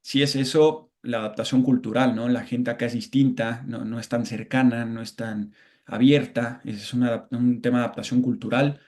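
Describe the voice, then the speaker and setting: A man's voice: medium pitch, level tone, moderate pace, a little mellow. A Mexican man, an interview guest, talking reflectively in a casual conversation, often restarting and adding tag questions.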